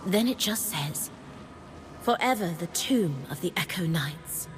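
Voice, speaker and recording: An earnest female historian from a fantasy video game. She speaks slowly and thoughtfully, with grave weight on old words. Her voice rings in a long hall reverb over clear music. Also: on the low side, expressive. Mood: solemn. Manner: measured, contemplative, reading aloud with gravity. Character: earnest, curious woman historian and outsider studying ancient inscriptions. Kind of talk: video game character dialogue.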